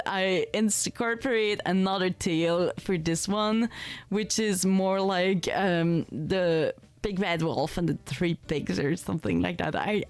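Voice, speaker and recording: Casual, chatty woman giving a game tour. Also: lowish voice. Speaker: gaming content creator giving a tour.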